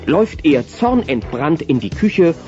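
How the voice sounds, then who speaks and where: A man's voice: fairly high pitch, sing-song, measured pace, darkish tone. A man narrating a German audio play in a scripted storytelling style. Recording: dull cassette transfer with music behind.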